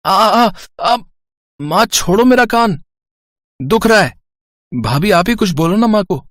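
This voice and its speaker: A man's voice: high voice, lively intonation, slow, a bit bright, no echo, studio quality, exaggerated voice. A man playing a playful, sweet-talking young brother-in-law in a family storybook drama. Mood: whining in pain. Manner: playful, coaxing.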